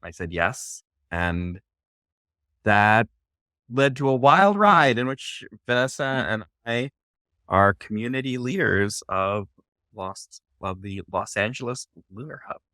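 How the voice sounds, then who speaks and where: A man, a community leader, telling a story as a podcast guest in an energetic way. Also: medium pitch, slow, no echo, clean studio sound. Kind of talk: casual podcast conversation.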